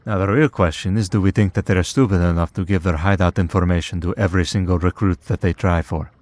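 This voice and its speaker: A man's voice: sits low, fairly even intonation, fast talker, darkish tone, no room sound, close to an everyday voice. A man playing a wry, teasing vampire in a priest's collar for an ASMR roleplay, in a sardonic, conversational way. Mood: musing, sober.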